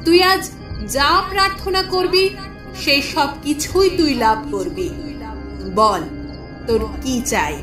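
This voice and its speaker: Audio drama: actress for serene, motherly deity, high voice, slow affectionate delivery, echo, music underneath. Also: lively intonation. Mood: tender, serene. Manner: affectionate, solemn blessing. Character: benevolent mother goddess, tender, serene, majestic. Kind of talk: mythic audio story acting. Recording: echoing voice under loud music.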